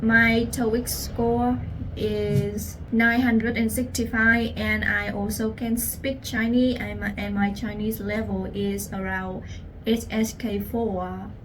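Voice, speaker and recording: A young Vietnamese woman, a language teacher, telling her personal story in English with a Vietnamese accent, speaking slowly and earnestly to the viewer. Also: medium pitch, little pitch movement, distant mic, rough recording. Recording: voice a little off-mic, faint room noise.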